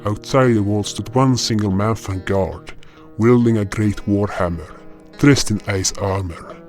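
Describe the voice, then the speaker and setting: A man playing a solemn, dutiful guardian spirit, narrating his own fantasy tale in a measured first-person style. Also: on the low side, a little mellow, lightly acted. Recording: guitar music under the voice.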